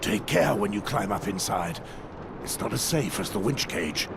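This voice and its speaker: Deep, gravelly, resolute soldier. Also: male voice, animated, moderate pace, heavy background noise. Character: stern, resolute, loyal soldier of a night watch. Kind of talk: dramatic fantasy game acting. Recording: loud music and battle sounds behind.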